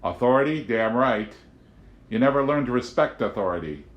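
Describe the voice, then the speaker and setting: An exasperated middle-aged patriot of an agent, acted out by a male reader, preaching forcefully in audiobook style. His pitch pushes up above the narration and he gets loud, in a room with noticeable echo. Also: animated, moderate pace, dull audio, natural voice. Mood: scornful. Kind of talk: audiobook character voice. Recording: roomy, echoing home recording.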